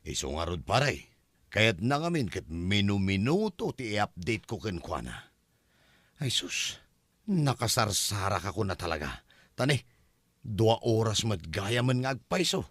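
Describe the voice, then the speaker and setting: A man's voice: on the low side, sing-song, a little slow, a bit bright, no room sound, heavily acted. A man playing a harried, weary mechanic boyfriend, placating and exasperated, in an Ilocano comedy audio drama. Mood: weary complaining.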